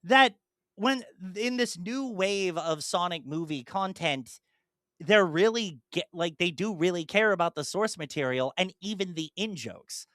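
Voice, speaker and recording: A podcast host chatting casually in an animated, rambling way, slipping into imagined dialogue. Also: mid-range voice, a little slow, no room sound, studio quality. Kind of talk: casual chat, enthusiastic monologue about films.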